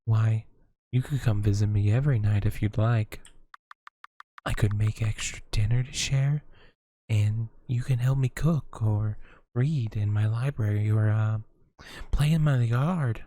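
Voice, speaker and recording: A man voicing a lonely, motherly witch woman who lives alone in the woods, speaking gently and hesitantly with sighs. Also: on the low side, fairly even intonation, measured pace, a little hiss or hum, close to an everyday voice. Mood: wistful. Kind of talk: audio roleplay acting.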